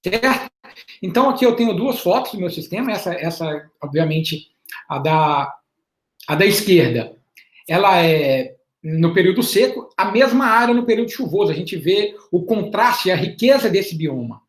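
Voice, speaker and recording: A man's voice: high voice, animated. Man presenting, echoey room. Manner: halting, repeats words, often ends points with a questioning "right?"; explanatory, measured. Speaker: invited panelist presenting field research. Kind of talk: webinar presentation with slides. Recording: roomy echo on an online call.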